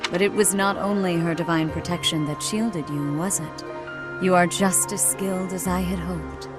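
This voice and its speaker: A woman playing a devout archbishop in a video game, formal, measured and solemn, with serene authority. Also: lowish voice, loud music behind the voice, natural voice. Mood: serene, gracious.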